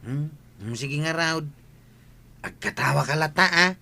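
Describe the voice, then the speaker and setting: A man's voice: on the high side, a little slow, vocal fry, bright, forceful tone, slight background noise, heavily acted. A man with a Filipino accent playing a practical, matter-of-fact village chief in an audio drama. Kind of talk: radio drama acting.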